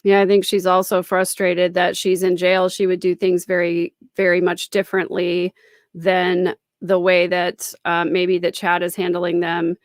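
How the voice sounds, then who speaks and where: A woman's voice: fairly low pitch, monotone, measured pace, no room sound, clean studio sound. A woman commentating on a livestream, explaining and repeating phrases for emphasis. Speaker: host and commentator. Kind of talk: live-stream commentary analysing a recording.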